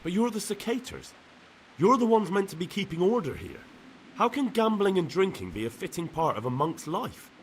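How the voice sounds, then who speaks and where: An actor playing an earnest young novice monk in a video game, questioning and wary. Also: male voice, high-pitched, wildly swooping pitch, normal speed, slight background noise. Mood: disapproving. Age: young adult. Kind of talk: video game cutscene acting.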